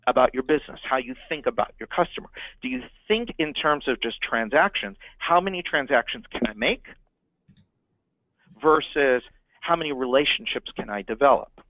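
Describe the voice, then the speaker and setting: A man's voice: on the high side, animated, normal speed, no echo. Man, marketing lesson, emphatic, repeats for stress, thin phone-like sound. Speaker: marketing consultant. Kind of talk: business training talk.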